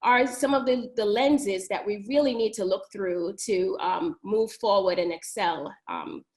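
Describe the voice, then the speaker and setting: Woman school principal from New York, earnest and measured, panel webinar over a video call, mid pitch. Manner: measured, earnest, frequent ums.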